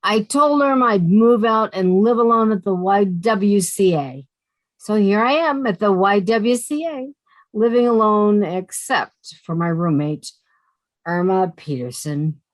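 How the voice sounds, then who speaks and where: A woman's voice: mid-range voice, animated, a little slow, dry recording, close to an everyday voice. A woman playing a sardonic young woman who narrates her roommate troubles in a 1950s-style radio comedy. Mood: wry. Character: wry young woman, sharp-tongued roommate.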